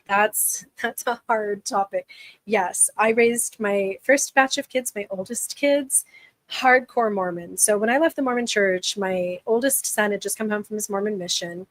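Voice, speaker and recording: A woman's voice: medium pitch, dry recording, low-quality recording. A woman in her forties who is losing her voice, hosting a live chat and speaking candidly with frequent restarts. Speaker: livestream host. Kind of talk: casual live chat answering viewers.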